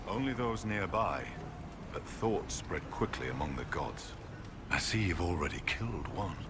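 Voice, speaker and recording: Solemn oracle shaman for a fantasy game, really low and swooping, ceremonial, noisy ambience. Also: big pitch swings. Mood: mystical, grave. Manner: ceremonial, unhurried, oracular. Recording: clear ambient noise behind voice.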